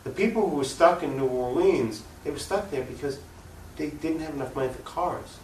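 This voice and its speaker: A man's voice: fairly high pitch, normal speed. A man speaking off the cuff as an activist interview guest, opinionated and emphatic, often posing rhetorical questions. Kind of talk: unscripted political argument in an interview. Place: room recording for a cable access show. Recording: echoey room, distant mic, faint noise.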